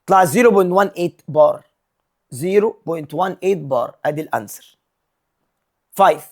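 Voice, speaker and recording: A male physics teacher with an Egyptian Arabic accent, working through exam problems step by step and mixing English terms and numbers into his Arabic. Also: sits high. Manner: mixes English terms and numbers into Arabic, reads questions slowly.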